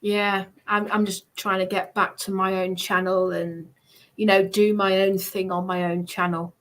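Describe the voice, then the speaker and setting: A woman hosting a livestream, chatting casually in hesitant, halting phrases. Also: mid-range voice, little pitch movement. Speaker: livestream host.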